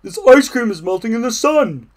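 A storybook reader voicing an excitable, earnest male toad who talks in loud, emphatic exclamations. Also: mid-range voice, unhurried, pitched above the performer's own voice, close to an everyday voice. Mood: worried, frantic.

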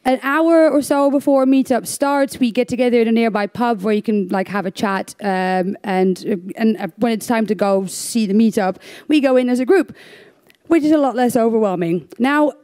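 A woman's voice: a little high, normal speed, darkish tone. A young woman from the Netherlands giving a conference talk on stage, chatty and self-deprecating with lots of fillers and restarts. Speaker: conference speaker.

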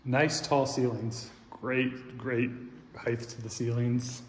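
A man's voice: mid-range voice, slow. Man touring an echoey empty house. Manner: relaxed, upbeat, pointing out features. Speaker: real estate agent giving a house tour. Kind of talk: casual walkthrough narration. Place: large empty house, indoors. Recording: echoey empty rooms, faint room noise.

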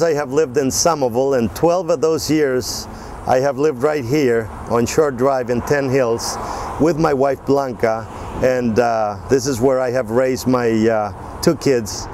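Earnest man from El Salvador, lowish voice. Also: darkish tone, slight background noise. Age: 40s. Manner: earnest, measured, with frequent "uh" pauses. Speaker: political candidate. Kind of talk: campaign video address. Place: outdoors on city streets and inside a restaurant. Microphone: lapel mic.

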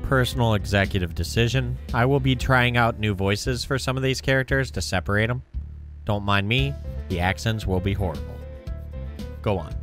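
A man streaming a visual novel with casual, animated, playful commentary. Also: fairly low pitch, a little fast. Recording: music playing behind the voice.

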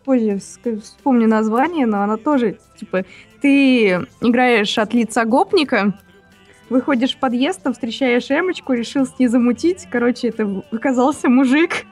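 Chatty young woman with a high voice. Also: moderate pace, darkish tone, soft background music. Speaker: let's-play co-host. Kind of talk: casual chat.

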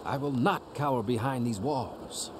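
A man's voice: mid-range voice, measured pace, slight background noise, natural voice. A man playing a bold young gang boss who declaims like a grandiose fantasy warlord in a video game. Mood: defiant, grandiose. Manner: theatrical mock-medieval declaiming. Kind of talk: video game role-play acting.

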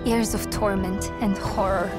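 A woman's voice: medium pitch, steady tone, unhurried, lightly acted. A woman playing a spirited, stubborn young sharpshooter in a video game, earnest and determined. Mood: somber, grieving. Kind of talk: cinematic video game acting. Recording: music under the voice.

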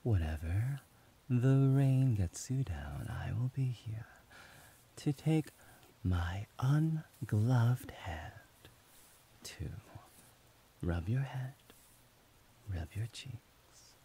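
A man voicing a devoted, doting young male servant in an ASMR roleplay, whispering softly and soothingly. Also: mid-range voice, very animated, extremely slow, pauses often, husky, heavily acted. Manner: soft whispering, affectionate and soothing.

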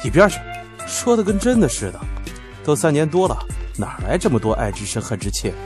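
A man's voice: on the high side, sing-song, a little fast. A man playing a cocky, offhand young planning manager in an audio drama, cool, curt and self-assured. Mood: smug. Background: background music.

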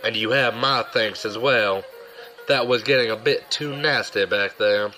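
An actor playing a glib, self-serving young rogue and old pal in an audio drama, casual in manner. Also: male voice, lowish voice, measured pace, sharp tone, soft background music, low-quality recording. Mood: defensive.

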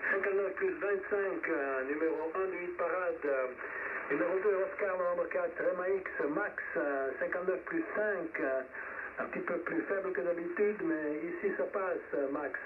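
A man running an amateur radio net over shortwave, speaking in short, encouraging phrases with pauses. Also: a little high, far from the mic. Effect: shortwave radio transmission. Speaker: net controller of an amateur radio round table. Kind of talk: ham radio net hosting. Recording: narrow, noisy shortwave radio reception. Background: radio static.

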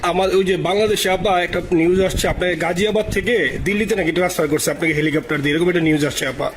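Male, Bangladeshi accent, high voice, extremely quick delivery, deferential supporter talking earnestly to a superior by phone. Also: steady tone. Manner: deferential, earnest, repeatedly addresses listener as elder sister. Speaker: party supporter. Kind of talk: informal phone conversation. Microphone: telephone. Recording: slightly noisy leaked phone call.

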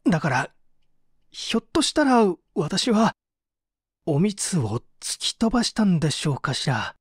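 A man's voice: high voice, big pitch swings, unhurried, breathy edge, no room sound, studio quality, full character voice. A man playing an evasive, subdued painter who is under interrogation, hesitant and confessional, in a dramatised mystery reading. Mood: confused, pleading.

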